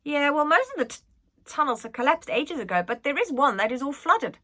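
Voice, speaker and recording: A ragged little urchin girl from a storybook , acted out by a woman. She is cheeky and defiant, blurting her words bluntly in a bright, raised, lively voice. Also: high-pitched, brisk, pitched above the performer's own voice, exaggerated voice. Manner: cheeky, blunt, uneducated speech.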